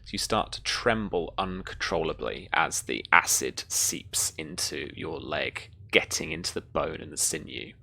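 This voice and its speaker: Low, creaky-voiced game master. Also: very animated, measured pace, hardly any pauses, bright, forceful tone. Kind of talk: running a tabletop roleplaying game.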